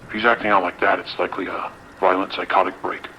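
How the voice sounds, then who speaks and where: A man playing a commanding, skeptical police lieutenant in an audio drama, brisk and authoritative. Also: low voice, flat delivery, lo-fi sound, lightly acted. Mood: decisive. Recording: rain and radio noise behind.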